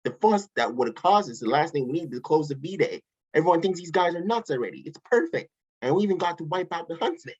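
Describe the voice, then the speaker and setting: An actor voicing a smug, flippant villain mastermind in a table read, boastful and wisecracking, with a husky voice. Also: medium pitch, expressive, quick pace, darkish tone. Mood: gleeful, defensive. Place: remote online table read. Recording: dull lo-fi call audio.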